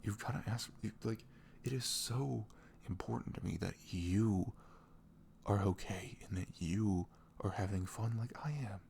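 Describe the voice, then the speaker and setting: A man playing a sweet, caring boyfriend in an intimate ASMR roleplay, speaking softly and tenderly in a velvety, often breathy voice with earnest, rambling reassurance. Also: fairly low pitch, unhurried, slight background noise. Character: sweet, caring, devoted young boyfriend talking in bed.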